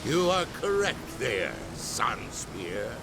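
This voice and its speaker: A man playing a ruthless, bullying general with a gravelly, commanding, menacing delivery in a video game. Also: medium pitch, very animated, takes it slowly, full character voice. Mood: gloating menace. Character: ruthless, bullying male general hunting rebels. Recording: clear noise behind the voice.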